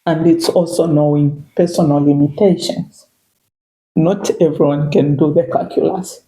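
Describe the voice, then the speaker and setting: A Zambian-accented woman with a gravelly, mellow voice that sits fairly low for a woman. She talks in a loose, reflective way, circling back with "you know" as she thinks. The recording carries a roomy echo. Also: steady tone, moderate pace. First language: Bemba. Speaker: guest. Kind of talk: casual interview chat. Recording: echoey room.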